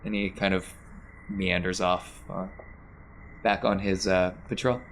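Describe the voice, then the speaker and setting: A man's voice: deep voice, level tone, unhurried, slight background noise. A man acting as game master, narrating an improvised tabletop game in a casual way.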